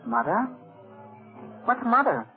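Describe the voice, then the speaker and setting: Impatient, bossy adventurer boy from a male actor, high and animated, vintage radio drama, tinny sound. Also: slow, full character voice. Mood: eager, insistent. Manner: blunt, bossy. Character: cocky, impatient, adventurous storybook boy who never grows up. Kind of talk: old-time radio acting. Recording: narrow-band old radio recording, loud music.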